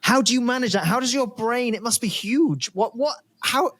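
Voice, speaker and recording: A man's voice: very high-pitched, normal speed, a bit bright. A man co-hosting a casual interview, talking in an effusive, exclaiming way. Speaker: podcast co-host, interviewer.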